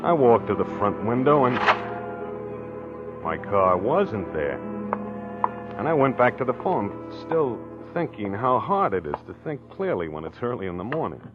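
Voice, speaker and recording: A low-pitched American man as a mild, befuddled husband in a vintage radio mystery. He speaks in a hesitant, deliberate, reflective way. The old recording is muffled, with music behind. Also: big pitch swings. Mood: reflective, subdued. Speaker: narrator and lead character. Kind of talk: 1940s radio acting, first-person narration.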